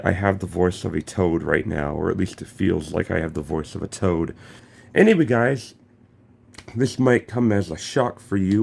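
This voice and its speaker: Young guy with a cold, stuffy throat, fairly low voice, cussing and restarting a lot. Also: creaky, darkish tone. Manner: swears freely, stammers and restarts. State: has a cold, congested throat. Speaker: gaming YouTuber. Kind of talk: casual vlog commentary.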